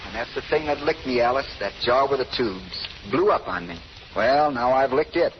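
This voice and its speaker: A man's voice: medium pitch, animated, normal speed, natural voice. A man playing an earnest, devoted lover who speaks plainly and directly in 1930s radio drama. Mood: insistent. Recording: dull, hissy old radio recording.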